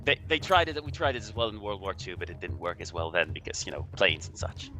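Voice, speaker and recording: A man from Belgium chatting casually as a guest commentator, explaining things in a halting, hesitant way with repeated words. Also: sits low, sharp tone, faint music behind.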